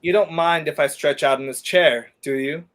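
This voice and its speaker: A man's voice: on the high side, steady tone, normal speed, fairly bright tone, lightly acted. A young man playing a wry, weary fugitive hero in a 1930s radio play, sardonic and theatrical in manner. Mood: sleepy, dismissive. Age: young adult.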